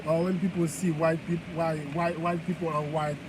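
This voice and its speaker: Halting high-voiced man, street noise. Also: level tone. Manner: halting, repeats himself, searches for words. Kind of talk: casual street chat.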